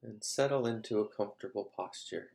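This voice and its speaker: A man leading a guided meditation as a teacher, speaking calmly in short, measured phrases with long pauses. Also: lowish voice, creaky.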